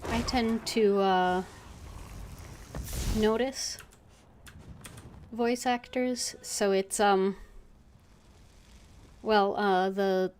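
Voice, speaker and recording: A woman's voice: mid-range voice, steady tone, drawn-out words, pauses often, darkish tone, slight background noise. A woman streaming a game with casual commentary, hesitant and thinking aloud, often trailing off.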